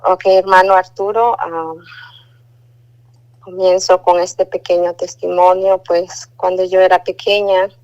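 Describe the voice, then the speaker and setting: Slow, devout woman on phone. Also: on the low side, level tone, darkish tone, clean tone, dull audio. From Guatemala. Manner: measured, devout, with hesitant fillers. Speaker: caller giving a religious testimony. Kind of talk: testimony and recited prayer. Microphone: phone line.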